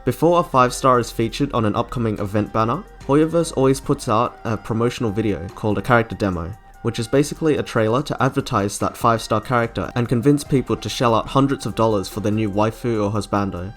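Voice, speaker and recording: A man's voice: lowish voice, fast talker, hardly any pauses, faint music behind. A man narrating a scripted video essay as its host.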